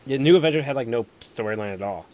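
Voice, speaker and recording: A man's voice: mid-range voice, expressive, fast talker, a little mellow. A man hosting a casual live stream chat, talking in a rambling, filler-heavy way with lots of "like". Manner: rambling, peppered with "like". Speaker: host of a live stream panel.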